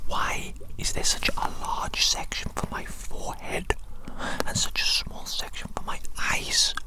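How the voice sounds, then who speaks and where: A performer voicing a pompous, vain evil scientist in a breathy, unvoiced ASMR whisper, with theatrical, repetitive phrasing. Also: a little slow, lightly acted. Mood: exasperated.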